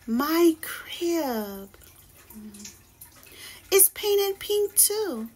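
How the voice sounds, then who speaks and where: A woman's voice: very high voice, very animated, darkish tone, pitched above the performer's own voice, heavily acted. A woman voicing a sulky, determined little boy in a children's storybook. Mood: sulky, muttering. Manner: slow, muttering and exclaiming.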